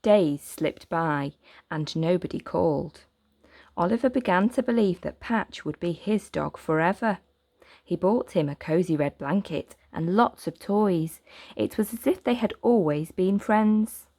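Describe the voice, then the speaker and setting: A woman reading a children's story aloud as narrator, with gentle, expressive, deliberate phrasing. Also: fairly low pitch, no echo.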